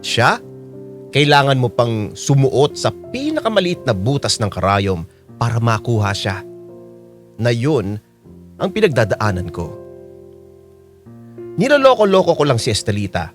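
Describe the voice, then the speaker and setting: An actor playing a lovestruck aging joker with a Filipino accent, confiding in a reflective radio drama monologue. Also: male voice, mid-range voice, big pitch swings, a little slow, pauses often. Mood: sincere, wistful. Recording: guitar music under the monologue.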